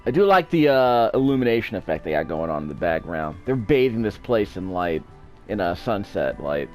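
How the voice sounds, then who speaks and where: Man doing animated, rambling game commentary. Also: lowish voice, normal speed. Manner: rambling, digressive, with asides. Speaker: game video host. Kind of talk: casual gameplay commentary. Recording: game music behind. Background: video game music.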